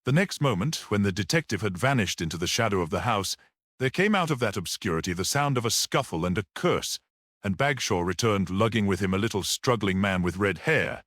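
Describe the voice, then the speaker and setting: A man's voice: lowish voice, animated, brisk, a bit bright, no room sound, studio quality. A man narrating a mystery story as a scripted, dramatic audiobook reading.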